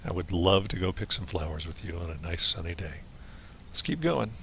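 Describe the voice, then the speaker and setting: Hoarse, gravelly man musing over gameplay. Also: sits low, fairly quick, a little hiss or hum. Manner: relaxed, musing, playful asides. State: hoarse, losing his voice. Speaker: game streamer. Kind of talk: casual let's play commentary.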